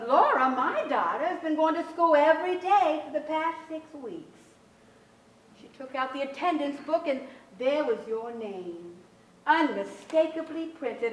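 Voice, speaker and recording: A woman's voice: sits high, fairly bright tone, roomy sound, far from the mic, full character voice, low-quality recording. An actress playing an anxious, overbearing middle-aged mother in a staged drama, theatrical, fretful and emphatic. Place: large theatre stage with audience, indoors.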